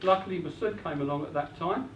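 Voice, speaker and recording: Man, high voice, seminar presenter, muffled lo-fi recording, faint noise. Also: fast talker, fairly bright tone. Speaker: property investment speaker. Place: event hall. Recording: roomy, distant, dull lo-fi with faint noise.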